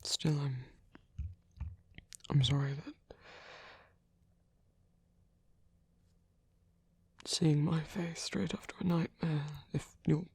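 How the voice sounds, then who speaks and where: A performer voicing a tender reformed villain as a protective lover in an intimate, gentle, breathy ASMR roleplay, speaking slowly in a hushed whisper. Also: mid-range voice, fairly even intonation, dry recording, exaggerated voice. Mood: tender, apologetic. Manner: slow, gentle, hushed, reassuring.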